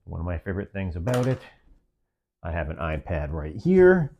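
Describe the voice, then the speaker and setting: A man's voice: low voice, huge pitch swings, moderate pace, mellow, lo-fi sound, slight background noise. A man giving a semi-scripted product review, explaining features step by step in short phrases.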